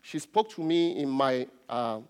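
A man with a Nigerian accent, a native Yoruba speaker, preaches a sermon as a pastor in a deliberate way, repeating key phrases. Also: mid-range voice, lively intonation, smooth. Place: large reverberant indoor church space. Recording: reverberant hall.

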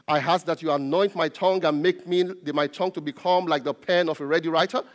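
Nigerian guy preaching, yoruba native, slightly high voice, deliberate, repeats key phrases, big echoey church hall. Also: steady tone. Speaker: pastor. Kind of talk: sermon preaching. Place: large reverberant indoor church space. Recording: reverberant hall.

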